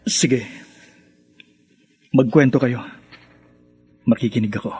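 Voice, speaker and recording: An actor playing a hurt, reproachful adult son in a radio family drama. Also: male voice, mid-range voice, takes it slowly, dark tone, breathy edge. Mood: grave, subdued.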